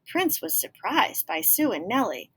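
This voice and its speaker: A woman's voice: on the high side, sing-song, measured pace, fairly bright tone, slightly rough. A woman reading a children's picture book aloud expressively, with explanatory asides to young listeners. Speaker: storybook reader.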